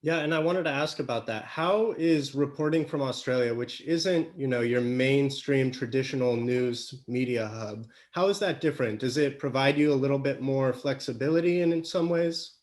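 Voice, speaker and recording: A man with a medium-pitched voice hosts a podcast interview. He puts his questions conversationally, as in an informal discussion with a guest. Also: moderate pace, hardly any pauses, darkish tone. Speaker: podcast host.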